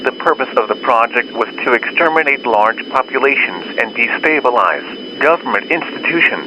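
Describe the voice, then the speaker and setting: Male alert announcer over a clearly noisy broadcast. Formal, official scripted read at an average pitch. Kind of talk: scripted law enforcement warning narration.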